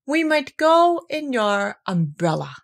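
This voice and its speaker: A woman's voice: a little high, big pitch swings, slow pace, vocal fry, voice pushed up, exaggerated voice. Woman voicing a proud, simple storybook bear. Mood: hopeful. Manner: simple, earnest, proud explaining. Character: proud, simple, earnest male toy bear. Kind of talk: children's storybook character voice.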